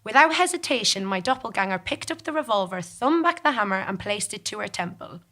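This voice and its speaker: A woman with a bright, forceful voice at an average pitch tells a story in the first person. Her delivery is deliberate and formal, pitched as dramatic stage narration. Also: animated. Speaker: monologue performer. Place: theatre stage.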